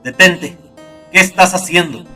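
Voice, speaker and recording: A man's voice: high voice, unhurried, recorded from a distance, faint music behind, voice pushed up, heavily acted. A man voicing a cruel, intimidating male murderer in a horror audio story. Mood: alarmed shouting. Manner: intimidating, shouting when alarmed.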